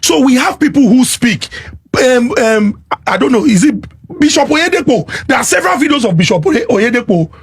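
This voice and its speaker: Measured Nigerian man, husky and high. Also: expressive. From Lagos, Nigeria. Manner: deliberate, explanatory, repeats to hold the floor. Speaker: panelist. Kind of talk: measured debate.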